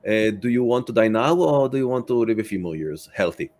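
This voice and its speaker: A man's voice: medium pitch, animated, fairly quick, a little mellow. A man speaking conversationally as a podcast guest, blunt and given to probing questions. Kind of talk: conversational discussion.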